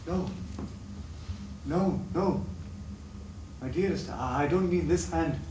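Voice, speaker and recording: A man's voice: fairly high pitch, takes it slowly. A man acting a sick, tormented addict confessing lost love in an impassioned, poetic stage-play style. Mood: bitter, anguished. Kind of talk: theatrical stage play dialogue. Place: theatre stage, recorded from afar. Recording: distant, echoey, noisy stage recording.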